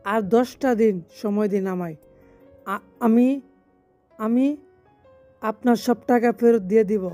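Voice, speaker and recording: A man's voice: sits high, takes it slowly, dark tone. A man playing a desperate, submissive middle-aged father in a melodramatic audio story, pleading and stammering. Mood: frightened, begging. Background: background music.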